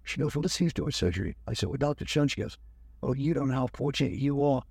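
A man's voice: mid-range voice, fast, vocal fry, breathy edge, no echo, clean studio sound. An older man, a guest, telling his story in an interview and animatedly acting out what others said. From United States. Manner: animated, acts out other people's lines.

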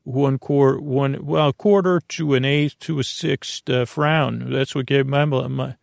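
A man hosting a bedtime podcast, rambling in a meandering, halting monologue that often trails off mid-thought and has a creaky quality. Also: medium pitch, fairly even intonation, no echo, clean studio sound. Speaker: podcast host. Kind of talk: rambling bedtime monologue meant to lull listeners to sleep.

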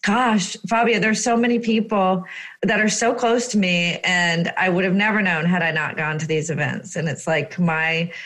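A woman's voice: lowish voice, normal speed, a bit bright. A woman hosting an interview, speaking in a gushing, enthusiastic way and laughing easily. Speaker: host. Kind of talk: casual interview.

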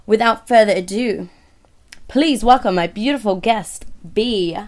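A woman hosts her podcast with a croaky, hoarse voice left over from being sick. She chats in a loose, rambling, upbeat way. Her pitch sits in the middle of the range. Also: measured pace. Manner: bubbly, rambling, swears casually. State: hoarse from illness. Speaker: podcast host. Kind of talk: casual podcast chat.

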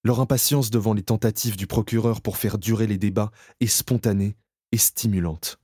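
A middle-aged man giving measured, solemn scripted documentary narration. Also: on the low side, steady tone, darkish tone, slightly rough, no room sound, clean studio sound.

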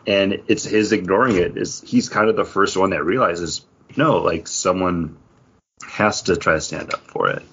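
A man's voice: low voice, normal speed, vocal fry, a bit bright, slight background noise. A man co-hosting a podcast, chatting casually about film and TV over an internet voice call. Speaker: podcast co-host. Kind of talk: casual movie and TV review chat. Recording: muffled, dull voice-call audio.

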